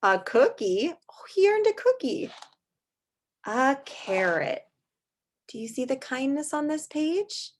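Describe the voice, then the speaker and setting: A woman teacher leading a storybook read-aloud for young children, explaining words simply and asking questions in an animated, expressive way. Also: high voice, slow, slight background noise. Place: online video session with screen sharing.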